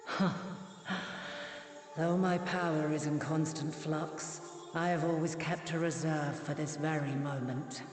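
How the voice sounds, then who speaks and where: In a vast reverberant space over music, an ancient goddess of a fantasy game. Her voice is low and solemn, with stately, unhurried phrasing and a weary motherly gravity. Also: steady tone, darkish tone. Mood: solemn, remorseful. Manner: stately, archaic, deliberate phrasing. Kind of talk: dramatic fantasy game acting. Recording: reverberant hall, music underneath.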